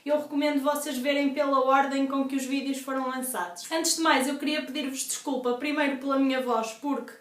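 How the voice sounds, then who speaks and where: A woman's voice: high voice, fairly even intonation, brisk, hardly any pauses. Young Portuguese woman vlogger, congested and chatty, recorded a bit far off in a roomy space. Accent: European Portuguese. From northern Portugal. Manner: chatty, explanatory, many fillers. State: congested from asthma. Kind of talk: casual Q&A vlog. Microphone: device camera at a distance. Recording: echoey room, voice off-mic.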